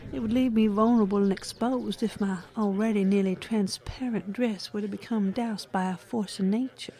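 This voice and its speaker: A woman's voice: a little high, mellow, close to an everyday voice. A woman playing a melodramatic country mother in a comic audio drama, gushing and genteel. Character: fluttery, melodramatic country farm wife and mother.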